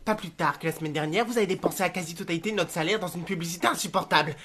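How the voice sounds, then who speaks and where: A woman's voice: fairly low pitch, rapid-fire, fairly bright tone, natural voice. A woman voicing a prim, exasperated male demon employee with a fussy, indignant manner in a cartoon fan dub.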